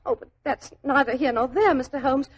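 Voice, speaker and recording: A woman's voice: sits high, lively intonation, brisk, husky. An actress playing a chatty, naive young working-class woman in a 1940s radio drama, with a London accent and a flustered, rambling, deferential manner. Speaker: actor in a radio detective drama.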